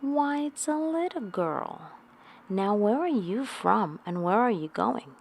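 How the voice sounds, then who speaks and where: A woman voicing an imperious, hot-tempered queen for a storybook, with a commanding, drawn-out delivery. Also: mid-range voice, big pitch swings, gravelly, voice pushed up, exaggerated voice. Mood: haughty.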